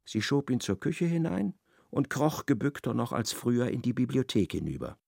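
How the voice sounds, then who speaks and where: A man narrating a radio play with expressive, dramatic storytelling. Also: medium pitch, normal speed, vocal fry, no room sound, clean studio sound.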